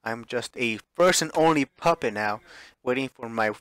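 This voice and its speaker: A man's voice: mid-range voice, moderate pace, fairly bright tone, clear, resonant tone, dry recording. A man reading a visual novel aloud as dramatic narration, sometimes stumbling and repeating phrases. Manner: stumbles over words and re-reads phrases.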